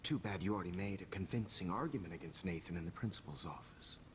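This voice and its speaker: An actor playing a smug, menacing teacher and photographer villain in a video game, with a calm, condescending, gloating delivery. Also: male voice, low-pitched, quick pace, a little hiss or hum, close to an everyday voice. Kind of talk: dramatic video game acting.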